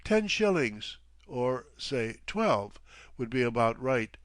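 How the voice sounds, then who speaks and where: A man's voice: medium pitch, very animated, takes it slowly, dry recording. A man playing an earnest, embarrassed young American who is down on his luck, speaking hesitantly in an audiobook. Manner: hesitant, stammering.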